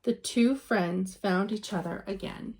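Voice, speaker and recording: A woman reading a children's picture book aloud in an expressive storytelling style, with dramatic emphasis and drawn-out words. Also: mid-range voice, low-quality recording.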